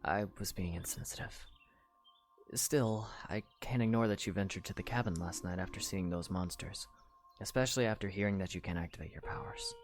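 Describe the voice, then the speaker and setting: A man voicing a young male hero in a fan audio drama, earnest and protective, speaking gently and with care. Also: deep voice, natural voice. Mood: gentle, then concerned. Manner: gentle, caring, measured. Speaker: voice actor.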